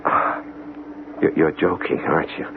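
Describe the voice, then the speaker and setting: An actor playing an earnest, skeptical investigator in a 1970s radio drama, questioning and a little halting. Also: male voice, rumbling low, little pitch movement, creaky, full character voice. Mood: probing, uneasy. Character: earnest, skeptical male weapons investigator, principled and insistent. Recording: narrow-band, hissy 1970s radio recording.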